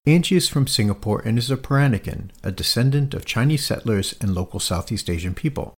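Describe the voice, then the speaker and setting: A man hosting a food podcast, introducing his guest and asking earnest, slightly halting questions. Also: medium pitch, expressive, husky. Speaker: podcast host. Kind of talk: podcast interview.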